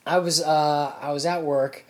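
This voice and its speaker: A man's voice: fairly high pitch, steady tone, unhurried. A man, a comedian co-hosting a podcast, rambling and ranting in frustration in casual chat.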